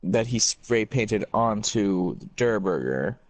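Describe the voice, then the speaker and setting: A man's voice: on the low side, animated, slow pace, dry recording. A man chatting casually as a companion to a reader, with a laid-back, hesitant way of talking. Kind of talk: casual chat.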